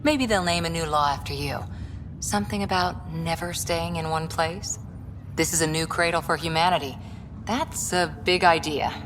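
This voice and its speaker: An actress playing a friendly, thoughtful human officer in a video game, casual and reflective. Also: female voice, deep voice, moderate pace, natural voice. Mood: friendly, teasing. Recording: clear background ambient noise.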